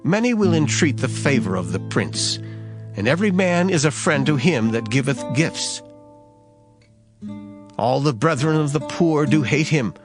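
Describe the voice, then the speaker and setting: Male Bible audiobook reader, solemn and measured, with lively intonation over plucked guitar. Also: fairly high pitch. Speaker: scripture reader. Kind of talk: dramatized Bible audiobook reading. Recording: guitar music behind the voice. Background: plucked guitar music.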